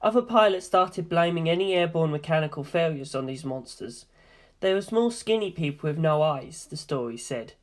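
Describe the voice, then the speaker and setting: An audiobook narrator reading a first-person story. The voice sits at a middling pitch. The delivery is scripted storytelling. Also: lively intonation, moderate pace.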